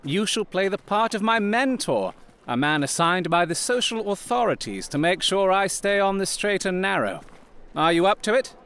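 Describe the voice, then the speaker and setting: Theatrical, higher-voiced gentleman detective. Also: sing-song, moderate pace, full character voice. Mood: brisk, assured. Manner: formal, clipped, imperious. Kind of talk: audio drama acting.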